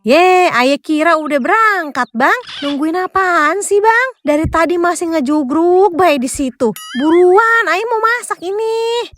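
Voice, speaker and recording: A woman's voice: lively intonation, measured pace, few pauses, a bit bright, slight background noise, heavily acted. A woman voicing a nagging housewife with a Jakarta Betawi accent in a comic cartoon. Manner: shrill, nagging. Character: nagging Betawi housewife scolding her husband.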